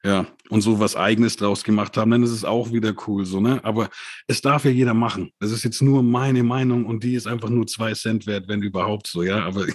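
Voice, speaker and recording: A man co-hosting a casual rap review chat, relaxed and laughing often, who grew up bilingual. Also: lowish voice, a little fast, slightly rough, dry recording, clean studio sound. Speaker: podcast co-host reviewing rap releases.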